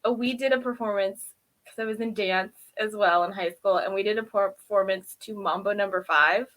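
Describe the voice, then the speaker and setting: A woman's voice: medium pitch, fairly even intonation, normal speed, a bit bright, rough recording. A woman co-hosting a review show in casual chat, telling stories in an informal, self-deprecating way. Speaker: podcast co-host reviewing TV episodes.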